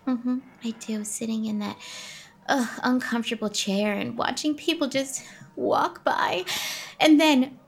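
Self-absorbed diva, high voice, gushing, condescending, bossy, comedic radio play. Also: female voice, unhurried, gravelly. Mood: gushing, self-satisfied. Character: imperious, self-absorbed female diva stage star. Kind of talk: comedic audio drama acting.

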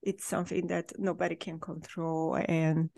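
A woman's voice: on the low side, flat delivery, moderate pace, vocal fry, darkish tone, dry recording. A woman hosting a podcast interview, asking questions and giving short encouraging replies. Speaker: podcast host.

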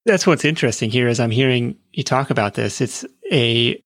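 A man's voice: medium pitch, moderate pace, no echo, clean studio sound. A man hosting an interview, summing up what he hears and asking thoughtful follow-up questions. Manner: thoughtful, summarizes and asks follow-ups. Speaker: podcast host.

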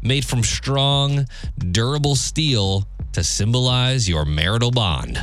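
A man's voice: medium pitch, animated, slow, fairly bright tone, faint music behind. A middle-aged man co-hosting a morning radio show with casual, wry banter, speaking close to a studio microphone.

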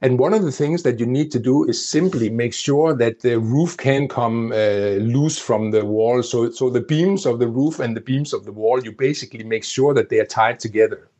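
A man's voice: mid-range voice, moderate pace, hardly any pauses, mellow. A man with a Danish accent, a native Danish speaker, explaining things at length as an interview guest, often restarting his sentences. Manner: explanatory, with frequent restarts and "you know". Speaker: interview guest, former project planner. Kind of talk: conversational interview.

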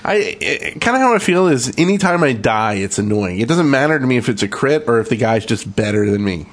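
Male podcast co-host, medium pitch, wry and joking, casual gamer conversation. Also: big pitch swings, fairly quick. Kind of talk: casual chat about a video game.

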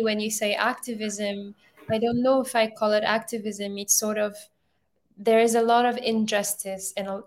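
A woman in her thirties from Ethiopia, talking in a thoughtful, halting way with many restarts, as an interview guest on a video call. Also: mid-range voice, creaky. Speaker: filmmaker guest.

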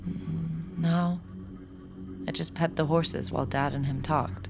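A guarded young woman with a teasing streak in a podcast drama. Her voice sits a little low with a touch of fry, and she takes her time, dry and understated, over faint music. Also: steady tone, slow, heavily acted. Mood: wary, questioning. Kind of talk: naturalistic fiction podcast acting.

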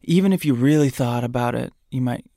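A man from Michigan hosting a podcast in an animated, campy and chatty way, close to the mic. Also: mid-range voice, fairly quick, creaky, no echo. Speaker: podcast host. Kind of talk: casual podcast chat.

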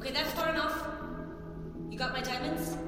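An actress playing a menacing, cool-headed villain holding hostages, curt and taunting, in an audio drama. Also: female voice, high voice, steady tone, moderate pace, music in the background, close to an everyday voice. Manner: curt, taunting, coolly threatening. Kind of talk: audio fiction acting.

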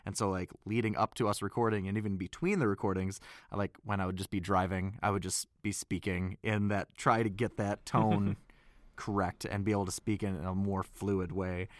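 A man talking casually in an interview about his acting, halting and rambling, with a self-deprecating way. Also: lowish voice, level tone, dry recording, studio quality. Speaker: voice actor, panel guest. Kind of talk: casual behind-the-scenes interview.

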